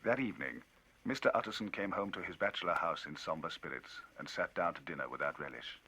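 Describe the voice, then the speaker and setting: A man reading a classic novel aloud, narration sunk low and hushed between the dialogue. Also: animated, fast, a little hiss or hum. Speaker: audiobook reader. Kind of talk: dramatic literary reading.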